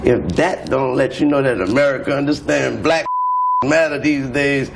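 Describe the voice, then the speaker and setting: A man's voice: medium pitch, moderate pace, creaky, background noise. A man answering interview questions in a curt, dismissive way. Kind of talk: clip of a short interview.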